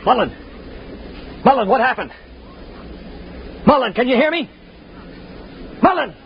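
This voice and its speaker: An actor playing an urgent, commanding leader of spaceship prisoners, emphatic and intense, in the style of 1950s radio drama. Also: male voice, very high-pitched, expressive, unhurried, full character voice. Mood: tense, urgent. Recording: muffled, noisy 1950s radio recording.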